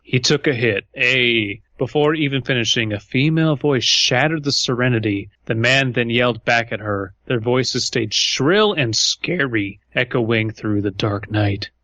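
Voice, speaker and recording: A man's voice: mid-range voice, a little slow, hardly any pauses, bright, forceful tone, dry recording, dull audio. A man co-hosting a podcast, chatting casually and reading a story, with a blunt, wry, profane manner. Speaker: podcast co-host.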